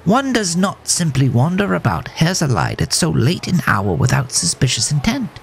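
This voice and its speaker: A man's voice: on the high side, big pitch swings, normal speed, gravelly, a little hiss or hum, pitched above the performer's own voice. A man voicing a genteel, eccentric horse in a tuxedo, speaking in prim, theatrical, old-fashioned formal phrases for a horror audiodrama. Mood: grimly gossipy. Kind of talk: horror audiodrama character acting.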